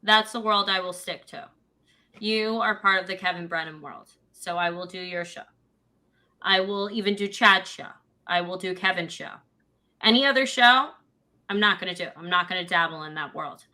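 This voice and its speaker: A woman's voice: lowish voice, moderate pace, bright, forceful tone. A woman with a New York accent, a guest on a podcast, talking bluntly in casual chat and repeating her points. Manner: blunt, declarative, repeats herself.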